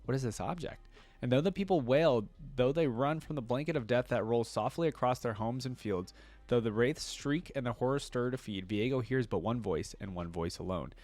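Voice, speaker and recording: A man's voice: medium pitch, normal speed, darkish tone, no room sound, faint music behind. A man hosting a reaction video, reading story text aloud and adding casual commentary.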